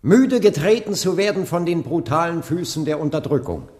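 A man's voice: a little high, lively intonation, darkish tone, lightly acted. Man playing earnest young male preacher, solemn radio-drama oratory, sweeping intonation, long hall reverb. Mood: solemn, resolute. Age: 20s. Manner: solemn, rhetorical, deliberate. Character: earnest young Baptist preacher leading a civil rights protest. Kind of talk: radio play acting, swelling into oratory.

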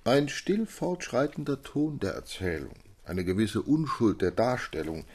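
A very deep, husky gentleman storyteller, courteous and earnest. He phrases things formally and ornately, as in a classic literary radio play. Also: exaggerated voice. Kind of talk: German literary audio drama, formal reading.

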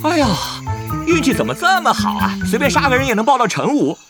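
A cocky young male gamer swordsman for an audio drama, very high in pitch. He rattles on quickly, repeating himself and exclaiming, a born chatterbox. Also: sing-song, over loud music. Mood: gloating.

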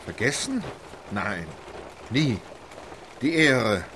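An actor playing a dignified, formal English gentleman who speaks in clipped, composed sentences. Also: mid-range voice, sing-song, drawn-out words, noisy background, full character voice. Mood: composed, proud. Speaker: Phileas Fogg.

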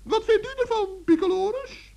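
A performer voicing a small, kindly, earnest forest gnome with a creaky voice in a children's radio play. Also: very high-pitched, normal speed, dark tone. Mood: polite, concerned. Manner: earnest, admonishing, polite.

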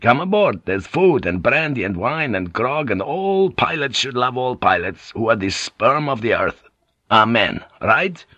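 A swaggering, foul-mouthed sea pilot booms out cheerfully in a pitch pushed well above the reader's own, as a man acts him out. The voice sits dry and close with no room sound. The whole thing comes through a dull, muffled cassette tape. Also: sing-song, a little slow, no room sound, heavily acted. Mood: boisterous. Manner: loud, jovial, profane. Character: boisterous, coarse, swaggering male sea pilot.

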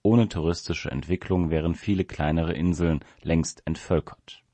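A man's voice: deep voice, fairly even intonation, moderate pace, warm tone, dry recording. A man reading a written essay aloud as scripted narration.

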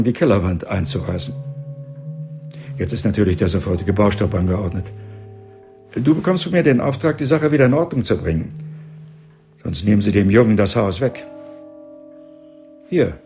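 Low-voiced older patriarch. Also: male voice, mellow. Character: authoritative older patriarch, head of a law practice. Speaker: actor in supporting part. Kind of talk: radio play dialogue. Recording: faint guitar music behind.

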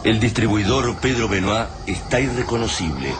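An Argentine actor narrating a grave war story for a radio drama in a solemn, literary way. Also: male voice, fairly low pitch, expressive, normal speed, heavy background noise, lightly acted. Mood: somber. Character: grave narrator of a wartime invasion tale. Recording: music behind the voice.

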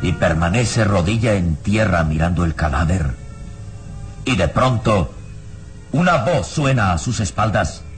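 An actor narrating an adventure radio serial in a solemn, suspenseful, dramatic style. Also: male voice, deep voice, lively intonation, faint music behind. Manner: suspenseful, solemn, measured phrasing. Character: omniscient suspenseful adventure storyteller.

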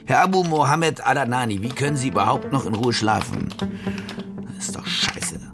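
An actor playing a glib, evasive German war reporter in a radio drama, with casual, slangy, hesitant speech and a gravelly voice. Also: male voice, mid-range voice, expressive, normal speed, loud music behind the voice, lightly acted. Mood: rehearsing, frustrated. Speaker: lead actor in a radio play. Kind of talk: naturalistic radio drama dialogue and narration.

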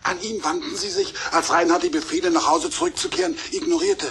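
An actor playing a small, wise robot in a dramatic audio play, precise, measured and grave. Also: male voice, sits high, very animated, noisy background.

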